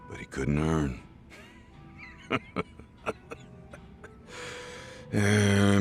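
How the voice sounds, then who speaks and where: A very deep, gravelly old gangster boss from a video game, worn down by drink and regret. He rambles ruefully, and soft music plays behind him. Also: full character voice. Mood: rueful, weary. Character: world-weary, boozy old former yakuza boss. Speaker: voice actor in an English dub. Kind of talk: video game cutscene dialogue.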